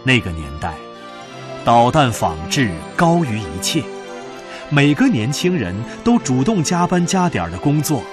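A man narrates a radio drama over clear background music. His mid-pitched voice is solemn and declamatory, rising and falling expressively. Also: sing-song, unhurried. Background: prominent music.

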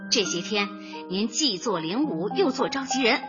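A woman's voice: medium pitch, lively intonation, moderate pace, fairly bright tone, close to an everyday voice. An actress playing an earnest, cheerful young woman who runs a community office, coaxing tactfully in a light radio comedy. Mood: rousing, persuasive. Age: young adult. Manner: eager, coaxing, speechifying in slogans. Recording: dull lo-fi audio with music behind.

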